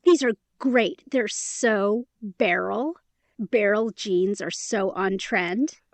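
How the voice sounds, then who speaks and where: A woman's voice: mid-range voice, slow pace, no room sound. An actress playing a chatty, eager, sweetly stubborn young woman in a romantic fantasy audio drama, speaking in a conversational, emphatic way. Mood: bright, eager.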